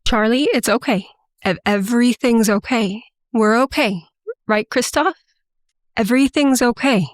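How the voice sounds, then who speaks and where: An actress playing a shaken, indignant agent with a sarcastic edge in an audio drama. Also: female voice, on the high side, a little slow, no echo, close to an everyday voice. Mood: frightened, placating. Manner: sarcastic, pointed questioning.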